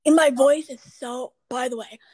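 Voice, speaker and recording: Raspy high-voiced young woman hosting a podcast. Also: steady tone, moderate pace, a little mellow, no room sound. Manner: chatty, rambling, many fillers. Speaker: podcast host. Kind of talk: casual chat.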